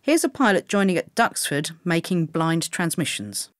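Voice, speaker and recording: A woman reading scripted instructional narration for pilot training in a measured, clearly enunciated way. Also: on the low side, sing-song, no echo, clean studio sound.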